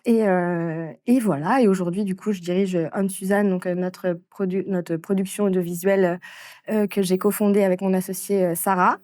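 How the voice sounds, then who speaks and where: A woman in her thirties from France, telling her story in a casual interview, with frequent pauses and fillers. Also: mid-range voice, steady tone, dark tone, dry recording, studio quality. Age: 30s. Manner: hesitant, many fillers and restarts. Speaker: interviewee, entrepreneur.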